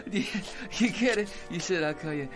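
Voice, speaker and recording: A man's voice: sits high, lively intonation, normal speed, soft background music. An actor playing a tipsy, over-eager barfly bore in a radio drama, slurred and giggly, rambling and stammering as he repeats himself. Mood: tipsy, chatty.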